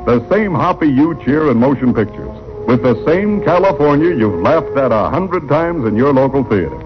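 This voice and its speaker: Theatrical American radio announcer, man, very animated. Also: mid-range voice, normal speed. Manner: grand, theatrical delivery. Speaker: announcer and narrator. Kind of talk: vintage radio announcing, dramatic scripted narration. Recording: muffled old radio broadcast. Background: music.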